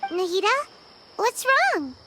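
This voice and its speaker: Squeaky, extremely high perky fairy. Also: female voice, very animated, slow pace, very noisy, exaggerated voice. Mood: puzzled. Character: tiny perky excitable floating fairy companion. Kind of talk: video game character dialogue.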